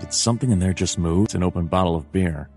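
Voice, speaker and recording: A man voicing a world-weary, wry animal detective narrating a noir video game in a dry, deadpan way. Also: low-pitched, fast talker, over music, natural voice.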